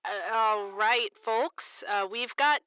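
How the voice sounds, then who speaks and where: An actress playing an upbeat, wry local radio host interviewing on air in an audio drama. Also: female voice, on the high side, slow, bright, forceful tone, smooth, no room sound, dull audio. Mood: composed, slightly flustered.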